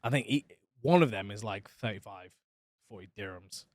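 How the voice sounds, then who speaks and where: Animated man, laughing podcast co-host. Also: normal speed, no echo, studio quality. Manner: animated, laughs easily, voice drops low at phrase ends. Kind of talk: casual chat.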